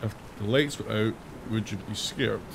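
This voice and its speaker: Hoarse, raspy man playing games. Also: lowish voice, moderate pace, noisy background. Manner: reads on-screen questions aloud and answers them, jokey. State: hoarse from days of singing. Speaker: gaming channel host. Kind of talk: casual let's-play commentary. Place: home room, indoors. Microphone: headset.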